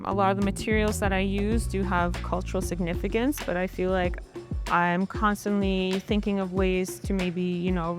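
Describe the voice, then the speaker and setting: Reflective woman artist, mid pitch, over clear background music. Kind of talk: documentary interview.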